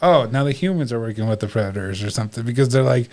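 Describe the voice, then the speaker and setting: A man's voice: medium pitch, expressive, rapid-fire, darkish tone. A man chatting casually about movies, recalling reactions in quoted speech in a laid-back way.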